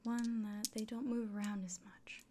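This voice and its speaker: A woman playing a friendly fantasy innkeeper in a soft, breathy, often whispered ASMR roleplay, speaking gently and without hurry. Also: on the high side, level tone, noisy background, close to an everyday voice. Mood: quietly proud. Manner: gentle, unhurried, caring. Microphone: close microphone.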